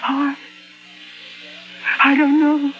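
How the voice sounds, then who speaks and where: An actress playing an anguished woman patient with lost memory, in an intense, halting 1950s radio drama style. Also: sits high, monotone. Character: anguished amnesiac woman patient who believes she murdered. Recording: muffled vintage radio recording with music.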